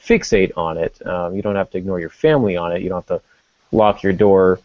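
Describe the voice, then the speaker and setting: A middle-aged man hosting a podcast, talking casually in a halting, reflective way and correcting himself as he goes. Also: low voice, steady tone, no echo, highs cut off. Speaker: podcast host.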